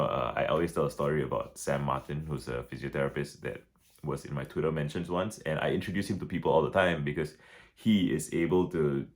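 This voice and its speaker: A man talking through his thoughts in a casual reflective vlog, with a deep relaxed voice, many restarts and fillers. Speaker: vlogger.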